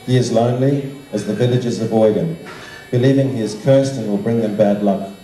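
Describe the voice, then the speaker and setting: An older man giving a measured, formal prepared speech to an evening gathering. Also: lowish voice, mellow. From Zimbabwe. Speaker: guest speaker, art teacher and children's author. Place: large indoor function room. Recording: roomy, echoing hall sound, distant microphone.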